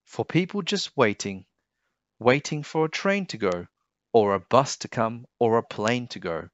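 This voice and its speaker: A man reading a children's storybook aloud expressively, with a deliberate, sing-song delivery of rhyming verse. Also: mid-range voice, expressive, no echo, muffled recording. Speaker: storybook reader.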